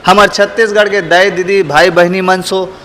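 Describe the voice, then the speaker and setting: Chhattisgarhi guy hosting a vlog, pretty high voice, earnest, some faint street noise behind. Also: little pitch movement, normal speed, pushed and bright. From Chhattisgarh, India. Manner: earnest, addresses viewers directly as friends. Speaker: host and street interviewer. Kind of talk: vlog presenting with public opinion questions.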